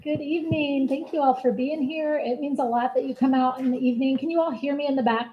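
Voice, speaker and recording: A woman giving a soft-spoken, earnest presentation as a city program manager at a town hall meeting. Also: high-pitched, steady tone, fast, highs cut off, slight background noise. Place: community meeting room.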